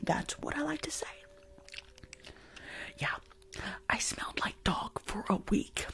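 A young woman playing a rude, weary school nurse in an ASMR roleplay, grumbling in a breathy whisper close to the mic. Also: sits high, expressive, slow pace, a bit bright, full character voice. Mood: rambling, confessional. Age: young adult. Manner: sassy, grumbling, rambling. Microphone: close foam-covered microphone on desk.

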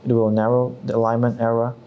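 A man in his thirties narrating a scripted software tutorial step by step, with non-native English phrasing. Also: medium pitch, monotone, quick pace, dull audio. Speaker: tutorial presenter.

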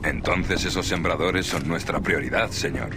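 Gruff, no-nonsense soldier hero for an action game; low-pitched, clipped orders, heavy background noise. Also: male voice, normal speed. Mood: terse. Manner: terse military radio reports. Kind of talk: video game acting.

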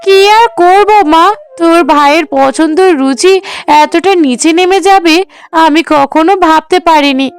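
A woman's voice: very high voice, measured pace, voice pushed up. A woman voicing a bitter older mother in a melodramatic cartoon, complaining with scorn and grievance about her grown son. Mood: aggrieved disbelief.

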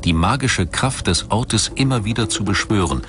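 A man reading scripted documentary narration in a measured, factual manner. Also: on the low side, animated, noisy background. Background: background music.

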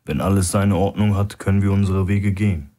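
A man voicing a stout, stern mall security guard who talks in a deliberate, firm, suspicious way. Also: sits low, steady tone, mellow, lightly acted. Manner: deliberate, insistent, polite but firm.

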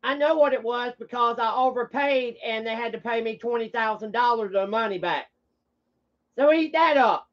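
A blunt, combative streamer rambles casually to viewers. The pitch is mid-range and the language is coarse. The audio is dull and lo-fi. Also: moderate pace. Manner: blunt, combative, foul-mouthed.

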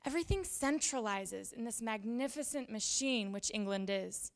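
A young woman giving a dramatic reading of historical letters in deliberate, formal phrasing, on a handheld microphone in an event room. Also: on the high side, lively intonation. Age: young adult. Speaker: reader at a library event. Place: indoor event room with small audience.

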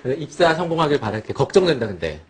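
A man's voice: medium pitch, very animated, fast, slight background noise. A young man in his twenties teasing friends with quiz questions in casual banter on a studio set. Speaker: idol group member. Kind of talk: playful variety show banter. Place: bright studio living-room set.

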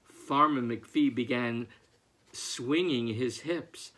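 A man's voice: medium pitch, extremely slow, fairly bright tone. A man in his sixties, an author, reading aloud expressively at home. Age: 60s. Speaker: picture book author. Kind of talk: expressive picture book read-aloud and reading out letters. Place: book-lined room at home, indoors.

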